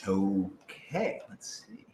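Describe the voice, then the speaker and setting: A man's voice: sits low, sing-song, very slow, warm tone. A young American man, a voice actor, chatting casually and telling stories in a wry, joking, rambling way. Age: young adult. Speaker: voice actor on a radio drama. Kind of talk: casual chat, storytelling. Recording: echoey room with audible noise.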